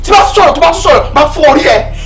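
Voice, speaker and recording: A young Nigerian actor playing a jealous, controlling boyfriend who barks insults and orders. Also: male voice, very high-pitched, animated, very fast, over music, low-quality recording. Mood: scornful. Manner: shouting, insulting, domineering.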